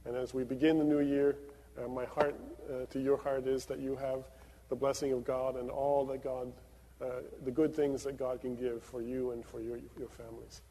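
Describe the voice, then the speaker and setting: A man's voice: mid-range voice, flat delivery, normal speed, warm tone. A man from Venezuela, a pastor leading prayers and giving announcements with many fillers. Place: church sanctuary. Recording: long reverb of a church sanctuary.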